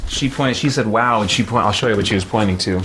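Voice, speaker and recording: A man's voice: on the low side, fast. A man hosting a casual livestream from home, talking in a rambling, hesitant way as he explains himself. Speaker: livestream host. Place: indoors at home. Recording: clear background noise.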